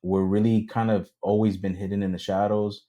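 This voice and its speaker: A man's voice: on the low side, level tone, a little fast, mellow. A man co-hosting a casual podcast, talking in a hesitant way with many ums and slipping into Spanish now and then. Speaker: podcast co-host. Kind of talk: casual chat.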